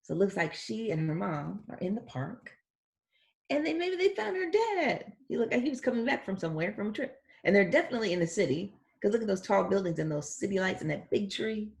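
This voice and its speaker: A woman reading a picture book aloud to young children in an expressive voice, pausing to chat casually about the illustrations. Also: mid-range voice, vocal fry. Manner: expressive, acts out sound words. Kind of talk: read-aloud storytelling with casual commentary.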